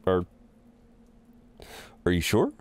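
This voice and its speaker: A courteous incubus innkeeper played by a man for an intimate audio roleplay. He speaks very slowly in a lowish voice, recorded close and dry. His delivery is chatty and polite, with a flirtatious streak and theatrical hesitations. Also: wildly swooping pitch, a little mellow, dry recording, full character voice. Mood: courteous, amused. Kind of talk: intimate scripted roleplay acting.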